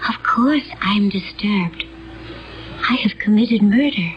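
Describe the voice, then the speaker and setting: An actress playing a serene, remote woman accused of murder in a radio drama, with formal, deliberate phrasing. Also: medium pitch, lively intonation, highs cut off, faint music behind. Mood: troubled, distant. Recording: band-limited old radio drama recording.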